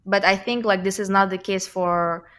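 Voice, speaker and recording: A woman's voice: mid-range voice, steady tone, normal speed, a bit bright. A young woman with a slight Russian accent, a guest talking thoughtfully in a casual interview, with many fillers and restarts. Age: young adult.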